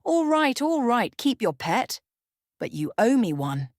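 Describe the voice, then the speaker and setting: A woman's voice: fairly high pitch, sing-song, unhurried, no echo, studio quality, voice pushed up. A woman voicing a cocky young male vampire in a bedtime story, mocking and smirking as she speaks. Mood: smug. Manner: smirking, taunting.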